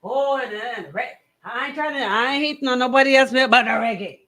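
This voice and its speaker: A woman's voice: fairly high pitch, a little fast, fairly bright tone. A woman with a Bahamian accent hosting a radio discussion, arguing her opinions emphatically and repeating phrases for weight. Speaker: radio talk show host.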